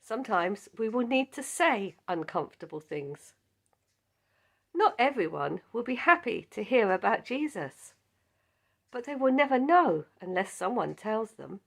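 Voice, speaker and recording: A woman with a croaky voice leading a Bible study, speaking in a measured, earnest way. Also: on the high side, sing-song. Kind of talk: scripted Bible lesson.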